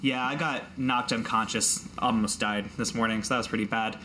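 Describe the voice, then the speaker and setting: A friendly male crewmate from a fantasy tabletop game, acted out by a man, speaks with a bright, clear-edged voice. He is earnest and folksy and rambles as he goes. There is faint noise in the recording. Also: mid-range voice, steady tone, moderate pace, natural voice, poor audio. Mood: upbeat, casual. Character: friendly, chatty, grateful male adventuring crewmate.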